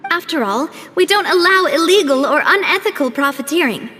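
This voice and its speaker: Poised, high-voiced young lady leader. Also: lively intonation, brisk, bright, forceful tone, a little hiss or hum. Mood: wistful, grave. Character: poised young lady leading a crime-fighting organization, earnest and polite. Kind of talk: video game cutscene voice acting.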